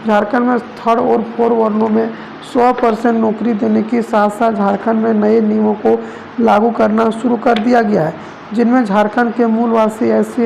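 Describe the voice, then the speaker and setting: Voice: medium pitch, vocal fry, darkish tone, poor audio. A news presenter narrating regional reports in wordy, repetitive phrasing. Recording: noticeable background noise.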